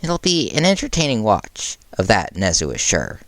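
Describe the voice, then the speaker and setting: A man narrates a piece of fan fiction he wrote. His voice sits fairly low, and the sound is dry, with very little room to it. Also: huge pitch swings, moderate pace, a bit bright, no echo. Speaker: fan fiction author reading own story. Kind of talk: narrated storytelling with character voices.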